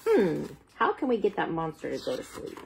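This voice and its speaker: Woman reading bedtime stories, very animated delivery. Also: fairly low pitch, brisk, creaky, a little hiss or hum. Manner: playful, dramatic emphasis, rhetorical questions to the listener. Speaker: children's storyteller reading picture books aloud. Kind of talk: expressive bedtime read-aloud with asides to young children.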